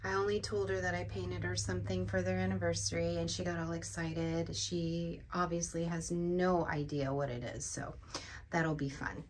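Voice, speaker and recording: A woman's voice: fairly low pitch, normal speed, a little hiss or hum. A woman in her forties chatting casually in a small home art studio, rambling as she talks to the camera, still in a groggy morning voice. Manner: relaxed, rambling self-talk. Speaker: artist vlogger.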